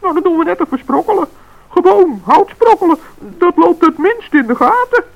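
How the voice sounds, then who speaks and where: A kind-hearted little forest gnome in an old radio play, speaks in a very high, gravelly squeak. The recording is dull and lo-fi. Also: male voice, expressive, moderate pace, full character voice. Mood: earnest.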